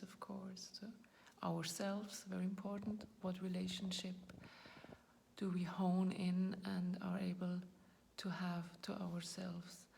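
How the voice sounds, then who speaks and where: Host, woman, 40s, non-native English, flat gravelly delivery, soft faint noise behind, reflective and searching for words. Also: fairly low pitch. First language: not English. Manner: reflective, searching for words, often trails into a whisper. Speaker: YouTube channel host. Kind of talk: personal talk to camera.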